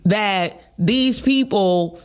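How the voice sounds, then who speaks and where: A man with a Detroit accent co-hosting a morning podcast, talking in an animated, chatty way and laughing often. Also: sits high, very slow, clean tone. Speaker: podcast co-host. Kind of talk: casual chat.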